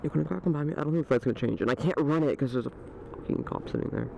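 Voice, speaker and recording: Animated, slangy man rambling outdoors. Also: on the high side, racing. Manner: slangy, heavy swearing, says "bro" often. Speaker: vlogger riding an electric scooter. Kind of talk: casual rambling POV ride commentary. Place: outdoors on a street, riding a scooter. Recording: outdoor street recording with clear vehicle noise.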